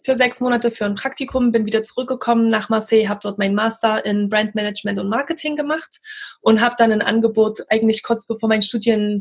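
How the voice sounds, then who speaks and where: A woman in her thirties, originally from Saxony-Anhalt, speaks as a guest in a relaxed conversation. Her voice is husky and sits a little high, and she reflects on her experiences in a measured, thoughtful way. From Saxony-Anhalt, Germany. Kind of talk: casual conversation.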